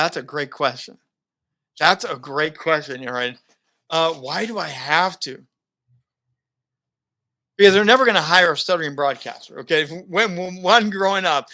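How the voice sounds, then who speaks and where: A middle-aged man, a broadcaster and speaker, telling stories in a casual interview and laughing often. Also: fairly high pitch. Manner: animated storyteller, laughs often. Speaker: guest, broadcaster and keynote speaker.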